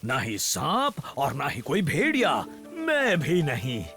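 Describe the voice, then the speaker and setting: A man playing a big, kindly, talkative bear mentor in a cartoon, excitable and fussy as he explains. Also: on the high side, slow, soft background music, exaggerated voice. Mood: friendly, teaching. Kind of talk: Hindi cartoon dub acting.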